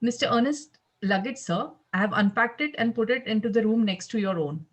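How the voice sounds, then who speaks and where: Woman reading as Victorian butler, fairly high voice, formal, polite, matter-of-fact, stage comedy table read. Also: fairly quick, close to an everyday voice. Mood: deferential. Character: deferential, formal male Victorian household butler.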